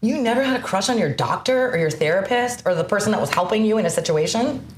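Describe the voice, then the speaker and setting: A young woman comic co-hosting a chat show, blunt and animated, her pitch swinging widely as she talks. The sound is roomy, with a faint hiss underneath. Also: quick pace. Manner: blunt, animated, jumps between ideas. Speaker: podcast co-host and stand-up comic. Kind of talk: casual chat.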